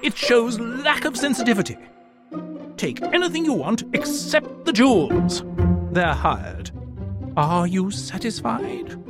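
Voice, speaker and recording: A man's voice: very high voice, wildly swooping pitch, takes it slowly, loud music behind the voice, pitched above the performer's own voice, exaggerated voice. A man reading a story aloud and voicing an irritable professor who blusters with indignation. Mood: indignant.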